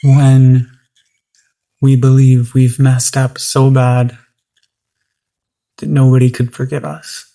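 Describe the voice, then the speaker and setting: Very mellow, slow meditation guide. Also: medium pitch, level tone. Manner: gentle, unhurried, long pauses between phrases.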